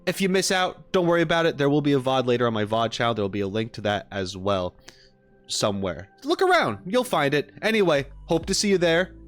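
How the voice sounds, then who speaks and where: Lively male streamer, casual and rambling. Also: mid-range voice, a little fast, soft background music. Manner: rambling, slangy. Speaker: streamer hosting a live chat. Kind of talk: casual livestream chat with viewers.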